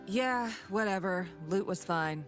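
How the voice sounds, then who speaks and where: A woman's voice: medium pitch, a little slow, natural voice. A woman playing a cocky, money-loving mercenary warrior in a video game, dry and dismissive in her delivery. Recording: background music under the voice.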